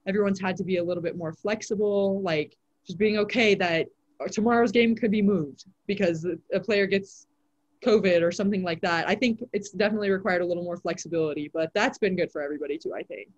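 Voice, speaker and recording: A teenage girl, a high school volleyball player, answering interview questions in a casual way with lots of "like" fillers. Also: quick pace, lo-fi sound. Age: teens. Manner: chatty, many "like" fillers.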